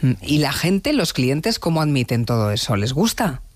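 A male co-host questions his guest in a lively, teasing manner. His voice sits a little high and swings widely in pitch, recorded dry and close. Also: dry recording. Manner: animated, teasing, quick interjections. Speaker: interviewer, co-host.

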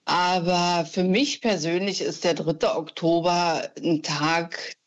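A woman's voice: fairly low pitch, fairly even intonation, takes it slowly. East German woman calling in by phone. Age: 50s. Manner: warm, reflective, many fillers. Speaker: radio caller. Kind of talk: phone-in call. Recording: lo-fi phone line.

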